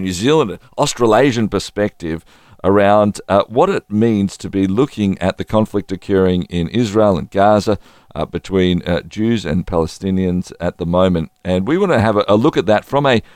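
Halting, friendly male radio host. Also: on the low side, expressive, darkish tone, no echo, clean studio sound. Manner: friendly, halting, many restarts. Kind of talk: radio interview.

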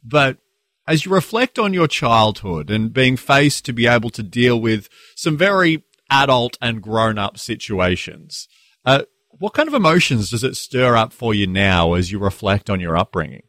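A man hosting a radio interview, asking measured, polished questions. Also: medium pitch, big pitch swings, fairly bright tone, no room sound, studio quality. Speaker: radio host.

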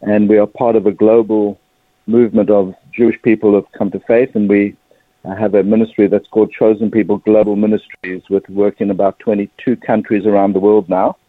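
A man in his fifties with a South African accent, giving a measured personal account as an interview guest, pausing mid-sentence. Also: fairly low pitch, flat delivery, no echo. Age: 50s. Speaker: interview guest, religious ministry leader. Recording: narrow phone-like line.

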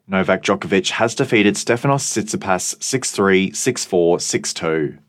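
A man reading radio news and sport bulletins in a measured, even newsreading manner. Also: sits low, dry recording, studio quality.

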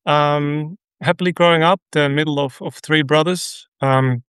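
Dutch-accented man, earnest and halting. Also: on the high side, steady tone, a bit bright, smooth, no room sound, clean studio sound. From Netherlands. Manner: earnest, halting storytelling with many restarts. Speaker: radio guest. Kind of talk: conversational interview.

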